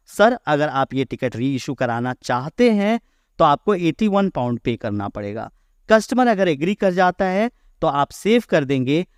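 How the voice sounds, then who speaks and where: A man with an Indian accent giving a step-by-step software lesson in an explanatory way, as an instructor. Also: fairly high pitch, no echo, clean studio sound. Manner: explanatory, step by step, checking understanding. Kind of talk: software tutorial narration mixing Hindi and English.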